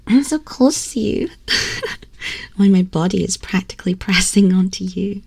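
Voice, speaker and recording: A woman acts out a small, haughty empress who toys with her listener. Her delivery is slow and drawn out, condescending and teasing, sometimes slipping into a breathy hush. It is an ASMR roleplay, recorded very close and dry. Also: mid-range voice, dry recording, heavily acted. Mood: teasing, smug. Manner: imperious, slow, theatrical, teasing drawl with breathy whispers. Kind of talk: ASMR roleplay, scripted character monologue. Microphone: close binaural microphone.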